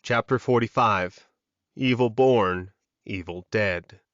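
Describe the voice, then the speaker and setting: A man's voice: lowish voice, takes it slowly, fairly bright tone, no room sound, muffled recording. Very dry-sounding male audiobook narrator. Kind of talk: scripted dramatic fiction narration.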